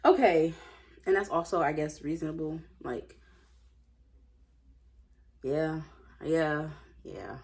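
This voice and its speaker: Low-voiced woman, casual and playful. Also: slow, vocal fry, pauses often. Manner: playful, animated reactions, slangy. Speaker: host reading Reddit stories. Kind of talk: casual read-aloud with chatty off-the-cuff commentary.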